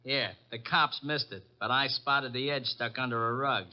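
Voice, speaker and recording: A man's voice: mid-range voice, moderate pace, natural voice. An actor playing a cheeky, streetwise young elevator boy in an old radio drama, insolent and slangy. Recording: muffled old radio recording.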